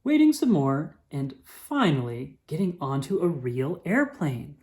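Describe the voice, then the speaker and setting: Female storyteller for picture-book read-aloud: low register, highly animated, dramatic emphasis. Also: measured pace. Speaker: children's book reader.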